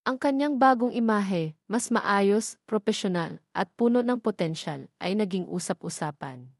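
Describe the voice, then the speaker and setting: A woman with a Filipino accent reading a romance story aloud as a steady, scripted narration. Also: medium pitch, a little slow, no echo, studio quality. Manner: steady storytelling read, voicing all characters lightly.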